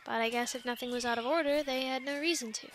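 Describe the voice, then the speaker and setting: A woman playing a plucky young island castaway in an ASMR roleplay, chatting in an animated, halting, stammering way. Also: high voice, a little hiss or hum, natural voice. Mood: awkward, apologetic. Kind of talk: ASMR roleplay, conversational one-sided dialogue.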